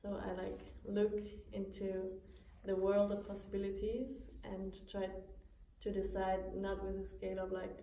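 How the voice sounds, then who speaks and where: A woman with a Swiss accent gives an earnest personal account in non-native English. Her voice is dark and fairly flat in pitch. She is heard some way from the mic in an echoey room. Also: gravelly. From Switzerland. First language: not English. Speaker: participant giving a testimonial. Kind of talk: earnest personal talk to a small group. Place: indoor room at a small gathering. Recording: echoey room, distant mic, faint noise.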